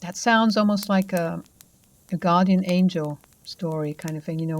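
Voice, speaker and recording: A woman host reading a first-person paranormal story aloud and then chatting about it in a calm, measured way. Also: low-pitched. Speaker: host and narrator.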